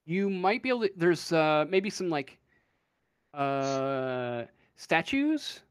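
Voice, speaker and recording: A man acting as game master, improvising narration for a tabletop role-playing game in a halting way, thinking aloud. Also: on the high side, sing-song, clear, resonant tone, dry recording, studio quality.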